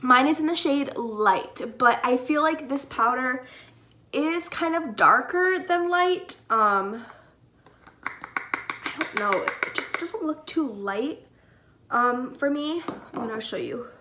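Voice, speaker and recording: A woman's voice: sits high, expressive, slow pace, sharp tone. A young woman with a slightly stuffy nose from a cold, chatting casually through a makeup tutorial with many "like" and "um" fillers. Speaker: beauty vlogger.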